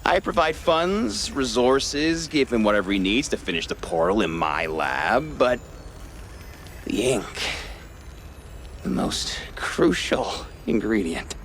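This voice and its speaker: A man voicing a cynical, guilt-ridden rich mouse in an animated noir game, with a theatrical, evasive, hesitant delivery. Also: medium pitch, takes it slowly, a little hiss or hum. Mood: musing.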